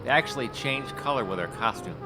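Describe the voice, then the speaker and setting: An actor playing a middle-aged talent scout in an audio drama, nervous and talkative. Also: male voice, medium pitch, animated, fairly quick, loud music behind the voice, lightly acted. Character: tenacious male talent scout, fast-talking and anxious. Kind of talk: radio drama acting.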